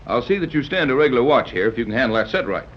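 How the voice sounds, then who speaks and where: An actor playing a wry, skeptical sea captain in a 1930s radio serial, from a dull old recording. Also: male voice, mid-range voice, lively intonation, lightly acted. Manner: dry, skeptical, brisk.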